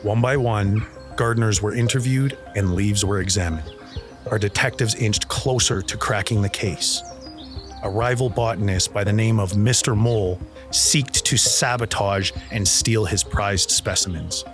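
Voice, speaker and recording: Male actor as narrator, solemn, dramatic radio mystery, low voice, prominent music. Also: measured pace, lightly acted. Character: solemn storyteller of a crime tale.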